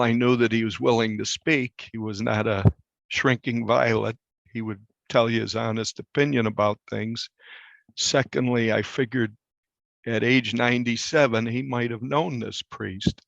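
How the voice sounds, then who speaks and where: An older man, a reporter, recalling a story in a conversational interview with measured, halting phrases. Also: medium pitch, creaky, dry recording, dull audio.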